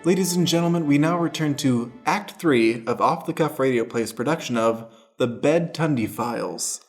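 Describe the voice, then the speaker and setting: A man's voice: fairly high pitch, normal speed, soft background music. A man acting as announcer and narrator, setting scenes for an improvised radio play.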